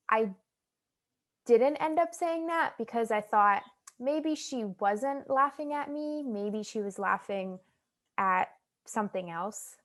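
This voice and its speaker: A young woman with an American accent, a guest talking about her own experiences in a relaxed, chatty way with many fillers. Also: mid-range voice. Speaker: guest, stuttering advocate. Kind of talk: casual interview chat.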